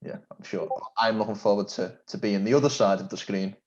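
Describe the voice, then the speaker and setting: Male host, courteous questions, somewhat low voice, muffled call audio. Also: steady tone, fairly quick, a little mellow. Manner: polite, formal, complimentary. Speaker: interview host. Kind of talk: interview questions.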